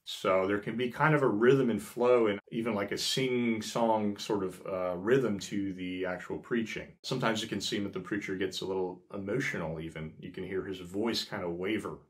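A man's voice: lowish voice, steady tone, moderate pace, few pauses. A man in his thirties hosting a casual explainer, talking to camera in a small room with many fillers and restarts. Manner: conversational, many fillers and restarts, gestures with hands. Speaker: host. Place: small indoor room by a frosted window.

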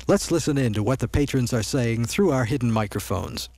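A man's voice: medium pitch, animated, fairly quick, crowd noise, natural voice. A man playing a confiding commercial announcer with sales patter in a parody radio ad.

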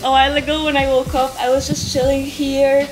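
A woman's voice: high-pitched, level tone, fairly quick, background noise. A young woman from the Philippines giving a bubbly, chatty house tour for her vlog, recorded on a handheld camera. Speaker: vlogger. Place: villa rooms and poolside. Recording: echoey rooms with loud music behind.

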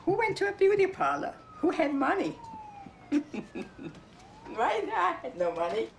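An old woman in her eighties from Vienna chats in a small hair salon, her voice gravelly and her pitch swinging widely as she fires back teasing questions. A film camera picks her up from a few metres off. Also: wildly swooping pitch, slight background noise. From Vienna, Austria. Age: 80s. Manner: chatty and teasing, tosses back quick questions. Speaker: salon customer. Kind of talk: casual chat.

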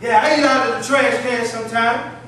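A man's voice: extremely high pitch, steady tone, a little fast, a bit bright. A man playing a down-on-his-luck homeless beggar, bitter and wry, in a folksy, rambling, theatrical stage monologue. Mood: resigned. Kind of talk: theatrical church drama monologue. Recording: very echoey hall, distant mic, faint noise.

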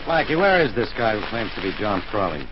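A blunt American police inspector on a muffled, noisy radio show from the forties. He fires off sarcastic, impatient lines at a quick clip. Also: fairly low pitch, sing-song. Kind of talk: 1940s radio acting.

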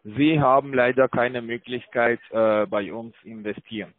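A man working as a call centre agent talks casually over a narrow phone line. His voice is medium in pitch. He speaks haltingly, stumbling and repeating words in broken phrasing. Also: steady tone, dry recording.

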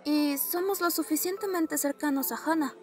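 A sensible young female student played by a woman in a webtoon dub, sure of herself. Her voice is fairly high, with faint music behind. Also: moderate pace, lightly acted. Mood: cool, confident.

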